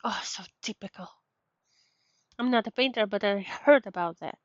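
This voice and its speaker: A woman giving casual live game commentary as a streamer, in halting, short, non-native English remarks. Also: medium pitch, no room sound, lo-fi sound.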